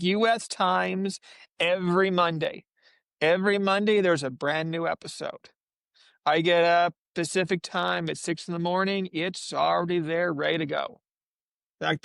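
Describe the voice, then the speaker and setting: Male podcast panelist, high pitch with heavy fry, rambling casual fan talk, dry sound. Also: a bit bright, dry recording.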